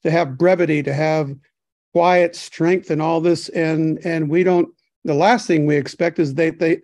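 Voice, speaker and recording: Halting older man on a dry video call. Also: on the high side, steady tone, a little mellow, dry recording. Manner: rambling, halting, many fillers. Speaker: podcast co-host. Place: home kitchen. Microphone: webcam on a video call.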